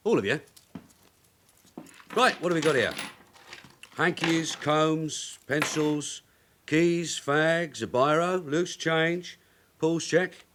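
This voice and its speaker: An actor playing a shrewd, weary veteran detective sergeant in a radio drama, with a London accent and a blunt, sardonic, authoritative way of questioning. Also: male voice, fairly high pitch, slow, pauses often, background noise. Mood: brusque, businesslike. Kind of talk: naturalistic radio drama acting.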